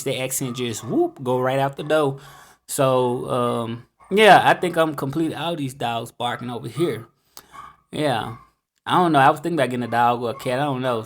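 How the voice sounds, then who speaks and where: A man in his thirties with a Southern US accent, talking casually to camera in a rambling vlog full of fillers and restarts. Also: fairly high pitch. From United States. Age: 30s. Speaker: vlogger. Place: home in Mexico, dogs barking outside.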